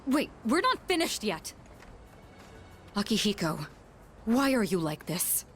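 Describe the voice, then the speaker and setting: A serious, proper high school girl in a video game, her voice at a medium pitch. She speaks with formal, earnest care over faint music. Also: big pitch swings, measured pace. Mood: exasperated.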